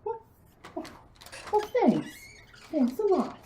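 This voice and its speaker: A woman playing a mild, patient mother in an amateur radio drama, gentle and indulgent with her child. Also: very high-pitched, wildly swooping pitch, very slow, heavy background noise, full character voice. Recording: muffled lo-fi recording.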